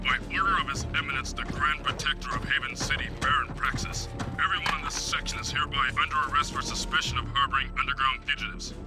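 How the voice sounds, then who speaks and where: A stern, threatening city guard from a video game, acted by a man. He gives orders in a commanding, official way, nearly buried under loud music. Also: mid-range voice, quick pace, natural voice. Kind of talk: animated video game acting.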